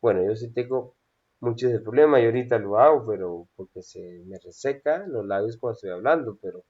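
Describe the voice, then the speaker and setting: A man's voice: medium pitch, fairly quick, darkish tone, slightly rough, dull audio. A man teaching a class on public speaking, hesitating often and checking in with his students. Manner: hesitant with frequent "eh" fillers and checking "¿sí?". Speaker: instructor.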